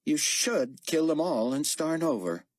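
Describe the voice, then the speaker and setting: A man doing a cool, ruthless female adviser, raised in pitch for a woman's voice. She sounds calm and husky in a dry, close sound. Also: unhurried, no room sound. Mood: cold. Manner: cool and approving of killing.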